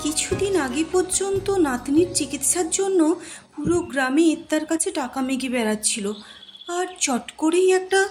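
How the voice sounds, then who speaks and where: Woman acting a high-voiced gossipy village woman. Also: lively intonation, moderate pace, darkish tone. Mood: curious, incredulous. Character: chatty, curious village woman. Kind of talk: cartoon moral-story dialogue. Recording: echoey sound with music behind.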